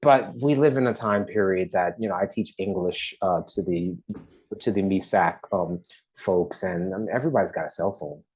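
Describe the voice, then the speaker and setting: A man in his forties from the US East Coast, speaking as an interview guest in a casual, halting way with many restarts. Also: deep voice, breathy edge, poor audio. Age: 40s. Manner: halting, many restarts, lively when enthused. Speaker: documentary artist guest.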